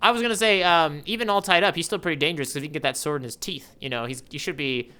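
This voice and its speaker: A man co-hosting a podcast, chatting casually about comics in an animated, enthusiastic way. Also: a little high, rapid-fire, smooth. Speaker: podcast co-host. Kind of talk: casual manga discussion.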